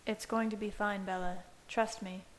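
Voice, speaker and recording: A woman playing a protective, gently reassuring young male vampire in an audiobook story. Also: medium pitch, little pitch movement, moderate pace, lightly acted. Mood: soothing. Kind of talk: audiobook character voice. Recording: hissy home recording.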